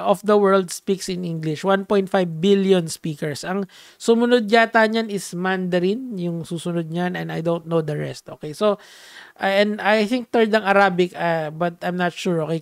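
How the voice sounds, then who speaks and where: A man's voice: sits high, creaky, dry recording, clean studio sound. A young Filipino man with a Filipino accent giving playful, casual commentary, switching between Tagalog and English with many fillers and restarts. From Philippines. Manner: playful, code-switching, frequent fillers and restarts, shouts "Hey!". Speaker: commentary vlogger.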